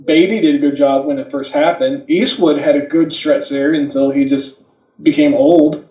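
A man's voice: a little high, normal speed, lo-fi sound. A man casually bantering as a podcast co-host, swearing freely and telling crude, joking stories. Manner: crude, joking, animated storytelling with acted quotes. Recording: roomy, echoing sound, off the mic.

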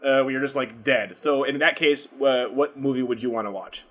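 A slightly tipsy man hosting a podcast, chatting casually and asking questions in an animated, incredulous way, swearing freely. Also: mid-range voice, brisk. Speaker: podcast host.

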